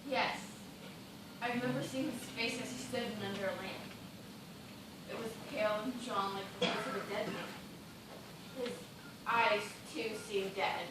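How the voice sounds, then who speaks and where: A woman's voice: mid-range voice, lightly acted. A woman acting a shipwrecked dancer in a stage play, fearful, weary and haunted, with formal, dramatic delivery. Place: stage in a theatre or hall. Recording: distant, echoey, noisy live theatre recording.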